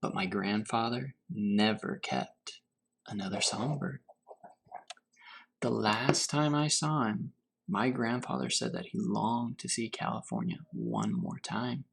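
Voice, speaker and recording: Male read-aloud teacher for children's storybook, lively intonation with a gravelly, creaky edge, faint noise behind. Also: on the low side. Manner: pauses to pose questions to young listeners. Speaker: teacher reading to fourth graders.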